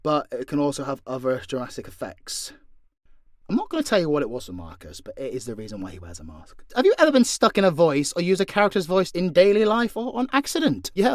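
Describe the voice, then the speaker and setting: A man's voice: fairly high pitch, no room sound, clean studio sound. A British man answering fan questions in a casual, unscripted way, blunt and joking, with plenty of swearing. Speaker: voice actor and content creator answering fan questions.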